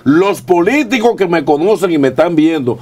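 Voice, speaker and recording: Emphatic, higher-pitched Dominican man. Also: lively intonation, normal speed, darkish tone. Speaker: TV talk show host. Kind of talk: political panel commentary. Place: TV studio.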